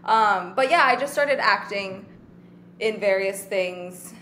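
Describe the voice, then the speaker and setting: A person in their twenties from Taiwan, chatting casually as an interview guest, relaxed and rambling with lots of fillers. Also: female voice, mid-range voice, takes it slowly, a little hiss or hum. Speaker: guest actor.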